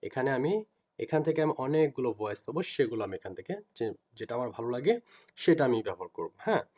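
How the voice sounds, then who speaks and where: A man with a Bangladeshi accent giving an informal software tutorial, explaining step by step and repeating himself as he teaches. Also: medium pitch, sing-song, brisk.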